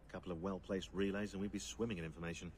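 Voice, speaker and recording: Actor as fast-talking, wry techie man. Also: low-pitched, fairly even intonation, a little mellow, slight background noise, natural voice. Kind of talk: video game dialogue.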